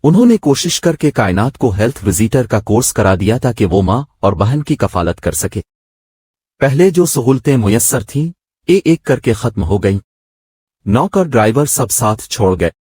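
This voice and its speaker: A man's voice: medium pitch, very animated, moderate pace, darkish tone, slightly rough, no echo, clean studio sound. A man reading a scripted moral story as its narrator.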